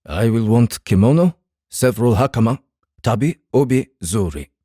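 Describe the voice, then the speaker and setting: A man plays a guarded samurai in a dramatized fantasy audiobook. His voice is dark and husky, his phrasing formal and measured, with a calm air of command and a lively rise and fall. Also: medium pitch, no room sound, studio quality, natural voice. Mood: grave, guarded.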